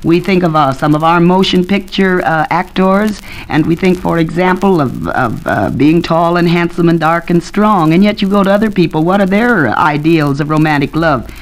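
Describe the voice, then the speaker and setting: A woman's voice: fairly low pitch, moderate pace, hardly any pauses, rough recording. An American woman speaking as an academic expert in a 1940s radio panel discussion, explaining points with examples.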